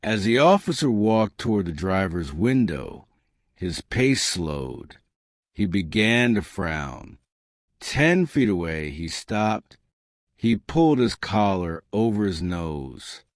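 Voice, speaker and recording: Male narrator, unhurried, widely swinging intonation, deadpan comic storytelling, dramatic pauses. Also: low voice, dry recording, clean studio sound.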